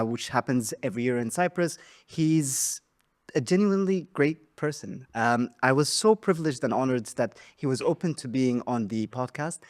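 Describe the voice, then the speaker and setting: A man hosting a podcast interview, thankful and self-deprecating as he introduces his guest. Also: medium pitch. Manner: warm, grateful, self-deprecating. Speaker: podcast host.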